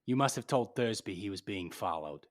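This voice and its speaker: A man's voice: fairly low pitch, lively intonation, moderate pace, close to an everyday voice. Man acting a hard-boiled, cynical radio detective. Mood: cold, accusing. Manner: clipped, blunt, accusing. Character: hard-boiled cynical male private detective, tough and unsentimental.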